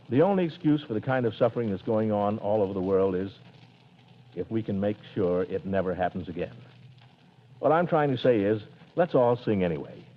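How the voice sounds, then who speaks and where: An actor playing a blustery, jovial middle-aged bachelor uncle in 1940s radio acting, booming and hearty. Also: male voice, close to an everyday voice. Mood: earnest. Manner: booming, hearty, theatrical chuckle. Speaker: lead character. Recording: dull vintage radio broadcast, faint hiss.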